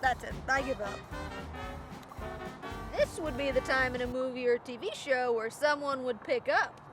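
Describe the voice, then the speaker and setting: An actress as a young woman with a high voice, anxious and hopeful. She rambles and stammers her way along with wry self-deprecation, in the natural style of a web comedy. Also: normal speed, over music, natural voice. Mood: frustrated. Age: young adult.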